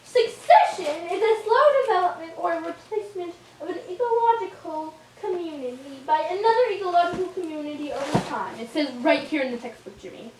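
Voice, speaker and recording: Squeaky high schoolkid puppet voiced by a woman, eager, animated, reciting, echoey room, distant mic. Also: fairly quick, hardly any pauses, a little hiss or hum. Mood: studious. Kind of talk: amateur scripted puppet show.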